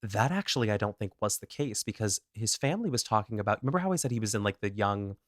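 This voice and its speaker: Male podcast co-host, low register, casual true-crime storyteller, dry booth sound. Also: quick pace, dry recording. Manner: animated, self-deprecating, laughs at own pronunciation.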